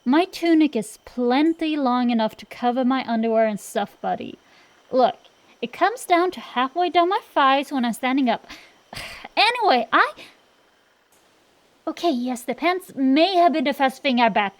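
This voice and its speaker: Woman playing a high-voiced, cocky tomboy dragon girl. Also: expressive, moderate pace. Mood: flippant. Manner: sassy, slangy, defensive, blustering. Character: cocky tsundere tomboy dragon-girl sorceress, young gambler. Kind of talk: acted roleplay ASMR, bantering monologue to the listener.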